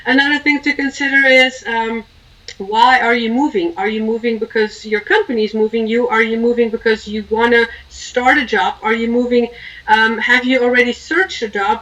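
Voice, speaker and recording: Woman from Belgium, expat coach being interviewed. High, very bright voice, earnest and emphatic delivery. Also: recorded from a distance, rough recording. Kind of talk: informal interview talk giving advice.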